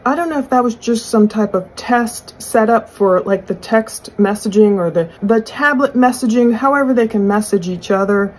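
A middle-aged woman giving conversational true crime commentary in a husky voice, explaining and speculating as she poses questions aloud. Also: fairly high pitch, moderate pace, hardly any pauses, low-quality recording. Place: small furnished room indoors.

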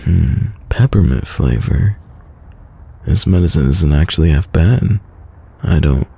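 A man playing a tender, teasing boyfriend in an intimate, soft-spoken ASMR roleplay, with a very deep, gravelly voice. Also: exaggerated voice. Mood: tender, playful. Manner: slow, soft-spoken, soothing, affectionate. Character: tender, teasing young boyfriend caring for sick partner. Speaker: voice actor.

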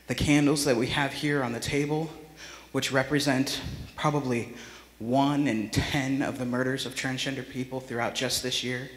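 An earnest man in his thirties gives a memorial address. His voice sits at a medium pitch and rings through a large church with long reverb. He speaks reflectively into a microphone, as one addressing a gathering. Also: fairly bright tone. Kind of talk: heartfelt public memorial speech. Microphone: handheld or stand microphone close to mouth. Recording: echoey church hall reverb.

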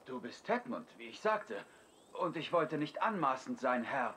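An actor playing a gruff Saxon soldier captain, dutiful toward his lord, in a video game. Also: mid-range voice, big pitch swings, a little slow, fairly bright tone, slight background noise. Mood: wary, deferential. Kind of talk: dramatic video game dialogue.

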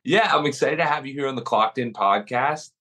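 Husky-ish dude hosting a podcast, upbeat, mid pitch. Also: male voice, bright, forceful tone. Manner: upbeat, laughs often, quick follow-up questions. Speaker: podcast host. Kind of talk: casual interview hosting.

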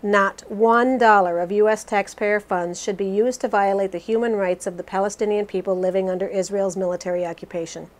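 A woman voicing a resolute, critical politician in a scripted interview re-enactment, formal and measured. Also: medium pitch, fairly even intonation, lightly acted.

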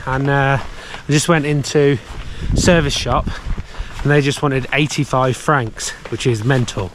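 A man's voice: medium pitch, steady tone, a little slow, noisy background. A man in his thirties vlogging casually to an action camera held at arm's length, outdoors in a snowy mountain village, chatty and upbeat with frequent filler words. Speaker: snowboarding vlogger.

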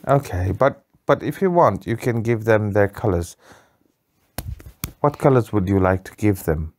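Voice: mid-range voice, animated, unhurried, a little mellow, a little hiss or hum. An adult telling an improvised bedtime story to a child, patiently and in simple phrases.

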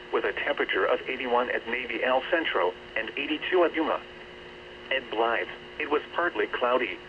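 A synthetic man's voice reading a scripted weather bulletin in a formal, measured manner over radio. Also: medium pitch. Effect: radio transmission. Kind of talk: scripted weather forecast and observations. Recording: noisy, dull weather radio reception.

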